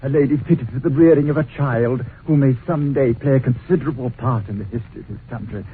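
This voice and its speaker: A man playing a gushing, scheming stout gentleman. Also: medium pitch, fast, close to an everyday voice. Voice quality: effusive, fussy, flattering. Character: jovial stout scheming middle-aged male employer. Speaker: radio drama actor. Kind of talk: 1940s radio acting. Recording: tinny old radio recording with faint hiss.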